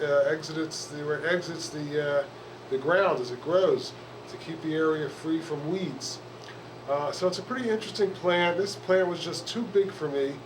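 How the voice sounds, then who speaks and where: A man hosting an unscripted hands-on plant demonstration, speaking haltingly and thinking aloud as he works. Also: a little high, noisy background. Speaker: bonsai hobbyist host.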